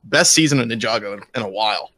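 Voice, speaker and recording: A man's voice: on the low side, lively intonation, fast, bright, forceful tone, dry recording. A man co-hosting a casual podcast, teasing and ribbing the others in loose group banter. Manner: teasing, ribbing his co-host. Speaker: podcast co-host.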